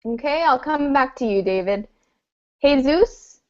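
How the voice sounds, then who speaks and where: Patient teacher on a video call. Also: female voice, high-pitched, lively intonation, unhurried. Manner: patient, clear, encouraging, uses fillers. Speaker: online English teacher. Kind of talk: online group class. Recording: dull lo-fi video call audio.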